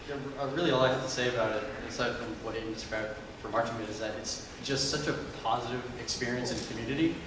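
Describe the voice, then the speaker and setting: A man's voice: medium pitch, background noise. A teenage boy drummer giving a school presentation, hesitant at first and then enthusiastic. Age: teens. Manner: hesitant, then excited and hyped. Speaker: student drummer. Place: school auditorium. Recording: echoey hall from far off with crowd.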